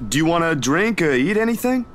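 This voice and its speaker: A man playing a friendly young male photographer in a video game, with a casual, chatty, expressive delivery. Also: fairly high pitch, brisk, slight background noise. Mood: casual, hospitable. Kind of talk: naturalistic video game voice acting.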